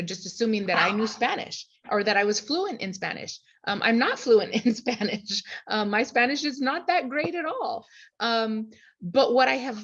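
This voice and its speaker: A woman who teaches high school, candidly sharing a personal experience on a video call, with frequent "um"s. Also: a bit bright, lo-fi sound. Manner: candid, reflective, with fillers.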